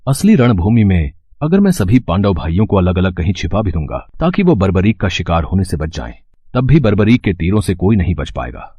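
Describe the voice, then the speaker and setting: A calm, all-knowing god played by a man speaks from a devotional tale in a deep, slightly rough voice. His delivery is stately and formal, with a wide swing in intonation that suits his shrewd authority. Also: fairly quick, no echo, highs cut off, exaggerated voice. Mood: grave, deliberate. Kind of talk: scripted mythological storytelling drama.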